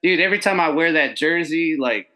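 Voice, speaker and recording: Excitable slangy man hosting. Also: on the high side, brisk. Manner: excitable, slangy, says dude a lot. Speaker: podcast host. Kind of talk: casual interview chat.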